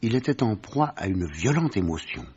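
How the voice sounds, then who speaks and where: An actor playing a sober doctor who narrates a detective case in a measured, literary French radio drama style. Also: male voice, on the low side, big pitch swings, a little mellow, husky. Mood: sober, narrative. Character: sober male doctor recounting his detective friend's case. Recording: dull, muffled vintage radio recording.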